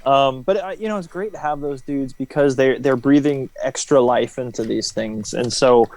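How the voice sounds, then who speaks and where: A man's voice: medium pitch, moderate pace, a little mellow, no room sound. A man talking casually as an interview guest, explaining things informally and often checking in with "right?". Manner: informal, explanatory, frequent "you know" and "right?". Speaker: interview guest, former military helicopter pilot.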